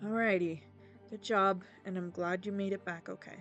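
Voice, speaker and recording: A woman's voice: mid-range voice, steady tone, moderate pace, fairly bright tone, highs cut off, faint music behind, close to an everyday voice. A woman voicing a fiercely protective, determined older sister in an amateur Minecraft roleplay, commanding and wry. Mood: tender.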